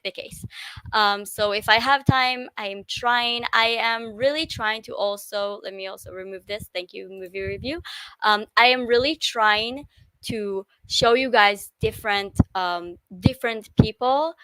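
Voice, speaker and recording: Bright-voiced Israeli woman, halting English. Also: mid-range voice, smooth, no echo, low-quality recording. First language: Hebrew. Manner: hesitant, halting English with self-corrections. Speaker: live stream host. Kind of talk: casual live stream chat.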